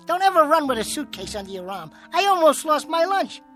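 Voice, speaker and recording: A man's voice: very high-pitched, sing-song, fairly quick, fairly bright tone, faint music behind, exaggerated voice. A man voicing a living ventriloquist's dummy, a cocky, menacing wisecracker, in a radio drama. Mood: mocking, menacing. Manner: taunting nightclub-comic patter.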